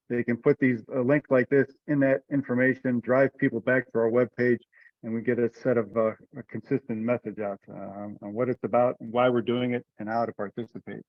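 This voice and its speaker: A man runs an informal online meeting, talking in a relaxed, colloquial way at a medium pitch. The sound is dull and lo-fi, like a dry conference call with very little room. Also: fairly quick, no room sound. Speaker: meeting chair.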